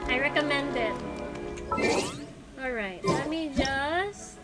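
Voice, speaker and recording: High-voiced Filipino woman, unhurried streamer chat. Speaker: game livestreamer. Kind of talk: casual livestream chat. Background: game music and character voices.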